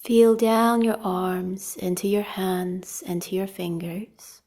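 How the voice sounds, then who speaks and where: A woman guides a meditation in a very slow, relaxed voice. She is calm and gentle, leaving long pauses between instructions. Her soft tone thins to a slight breathiness as phrases end, with a mellow, warm colour throughout. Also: medium pitch. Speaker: meditation teacher leading a guided practice.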